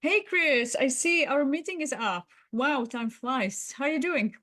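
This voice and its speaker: A woman's voice: sits high, unhurried, pushed and bright, voice pushed up. A woman playing a busy female boss in a workplace roleplay, hesitant and evasive as she deflects an employee. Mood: breezy, hurried. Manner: hesitant, evasive, breezily dismissive.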